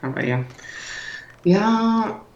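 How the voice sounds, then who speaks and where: A woman's voice: medium pitch, lively intonation, very slow, darkish tone, slight background noise. A woman speaking as a non-native learner with a foreign accent, chatting casually and searching for words. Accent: non-native, foreign-accented German. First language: not German; learned it as a foreign language. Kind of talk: casual language-practice chat. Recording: echoey room, muffled audio.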